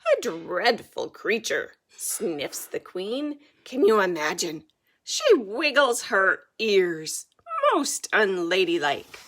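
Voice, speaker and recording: A woman's voice: medium pitch, sing-song, slow pace, a bit bright, heavily acted. A woman voicing a snobbish animal queen in a children's story, prim, sniffing and emphatic with disdain. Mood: disdainful.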